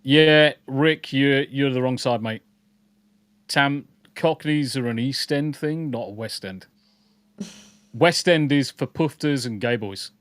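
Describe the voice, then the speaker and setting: A man's voice: medium pitch, slow, a bit bright, no room sound. A man co-hosting a casual podcast livestream, joking and swearing freely while greeting viewers in chat. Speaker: podcast co-host.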